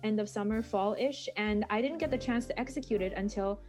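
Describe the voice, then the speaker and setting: A woman's voice: medium pitch, fairly quick, darkish tone, faint music behind. A woman in her early twenties, a student podcaster, telling her story in a chatty, upbeat way on a panel. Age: 20s. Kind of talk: casual panel conversation.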